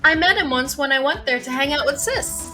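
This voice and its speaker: A woman's voice: high-pitched, a little fast, natural voice. A woman voicing a naive, earnest teenage girl assistant who is easily amazed, in a live game fan dub. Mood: thoughtful. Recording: music behind the voice.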